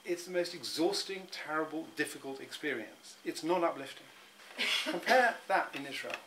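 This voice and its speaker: Thoughtful middle-aged Englishman, halting restarts. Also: on the high side, expressive, sharp tone, slight background noise, poor audio. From England. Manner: thoughtful, many restarts and repeated words. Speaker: interviewee. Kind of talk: reflective personal interview.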